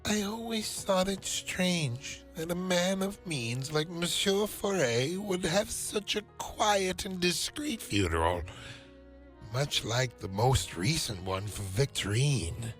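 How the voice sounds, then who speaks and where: An obliging, gossipy cemetery keeper muses theatrically in a game cutscene. His speech is drawn out and slow, swinging widely in pitch, with music underneath. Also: expressive, a bit bright. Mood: shocked, pondering. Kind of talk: dramatic video game cutscene acting.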